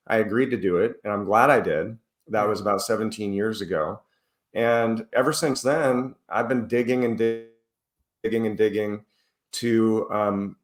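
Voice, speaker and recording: A man's voice: lowish voice, roomy sound. A man recovering from the flu, talking earnestly as an interview guest and explaining at length with frequent restarts. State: recovering from flu, using a cough drop. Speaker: podcast guest, author. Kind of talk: casual interview talk.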